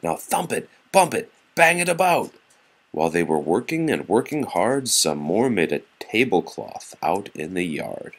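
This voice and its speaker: Deep, husky man reading a picture book. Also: sing-song, measured pace. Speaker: read-aloud storyteller to young children. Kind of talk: children's picture book read-aloud with casual asides.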